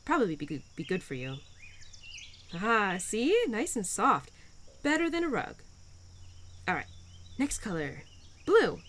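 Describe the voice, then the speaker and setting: A woman plays a cheerful spirit of spring in an intimate audio roleplay. Her pitch swings in a lively way as she teases and encourages, getting flustered now and then. Insects and outdoor nature sounds are clearly heard behind her. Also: unhurried, pauses often, a little hiss or hum. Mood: playful, cheerful. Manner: playful, encouraging, with flustered hesitations. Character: cheerful, playful, pun-loving spirit of spring, Mother Nature's daughter.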